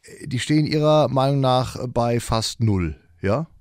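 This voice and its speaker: Crisp dry-voiced podcast host. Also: male voice, medium pitch, a little slow, a little mellow, dry recording. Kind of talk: podcast interview.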